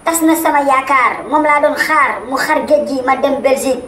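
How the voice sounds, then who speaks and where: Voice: sits high, normal speed, close to an everyday voice. An actor voicing a hot-tempered male war veteran in a cartoon, blustering and threatening with a Senegalese accent. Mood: furious. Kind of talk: animated cartoon voice acting in comedy. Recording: echoey, distant-sounding, clearly noisy.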